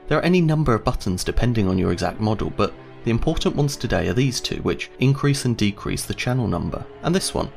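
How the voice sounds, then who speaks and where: A man's voice: lowish voice, vocal fry. A man giving a scripted instructional narration, explaining step by step in a conversational way.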